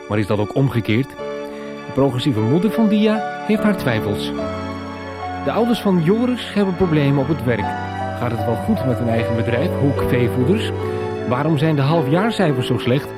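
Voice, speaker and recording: Male narrator for soap recaps: scripted, very varied pitch, over prominent music. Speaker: announcer. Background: loud music.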